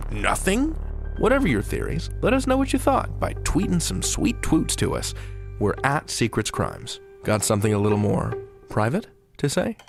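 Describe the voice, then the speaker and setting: A male podcast host delivers a scripted outro with swooping, animated pitch and a creaky edge. His manner is playful, arch and theatrical, over a clear music bed. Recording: music bed under studio voice.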